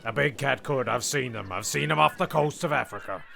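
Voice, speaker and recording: A man playing a foul-mouthed, rambling, flustered narrator in a comic radio play, with a gravelly voice. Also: medium pitch, fairly quick, crowd noise. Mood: dramatic, indignant.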